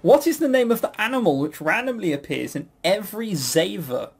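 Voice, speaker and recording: Chuckly man with a lively, swooping voice. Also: high-pitched, fairly quick, creaky, a little hiss or hum. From a Western country. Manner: chuckly, teasing. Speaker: quiz host. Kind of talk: casual quiz banter.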